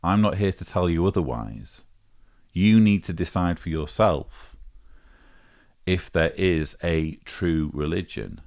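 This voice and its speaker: A man hosting a vlog, giving measured, articulate commentary in formal phrasing as he replies to a message. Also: low voice. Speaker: vlog host. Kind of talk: measured spoken commentary replying to a voicemail.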